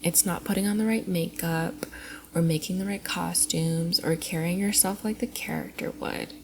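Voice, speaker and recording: A woman acting out a playful young female cosplayer in an ASMR roleplay, talking closely to one listener. She is coy, teasing and affectionate, slipping between bubbly chatter and soft murmurs. Her voice has a noticeable crackly fry, over audible background noise. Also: lowish voice, fairly even intonation, moderate pace, lightly acted. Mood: chatty, wistful. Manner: giggly, coy, often dropping into soft breathy murmurs.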